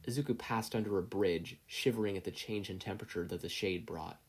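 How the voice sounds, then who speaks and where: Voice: low voice, brisk, breathy edge. A narrator reading scripted fiction aloud as an audiobook-style story. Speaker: podfic narrator.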